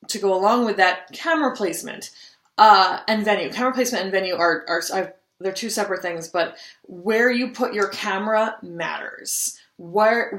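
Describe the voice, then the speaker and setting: A woman's voice: mid-range voice, fairly bright tone. Chatty singer woman in an echoey room. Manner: chatty, many ums and restarts. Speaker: singer giving audition tips. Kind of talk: casual vlog advice. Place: home room, just moved abroad.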